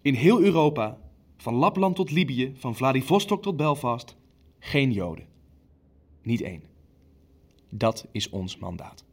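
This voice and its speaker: A man's voice: mid-range voice, big pitch swings, unhurried, pauses often, husky, exaggerated voice. An actor playing a zealous, commanding Nazi official in an audio drama, emphatic and decisive in his delivery. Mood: resolute, fanatical. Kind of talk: Dutch audio drama acting.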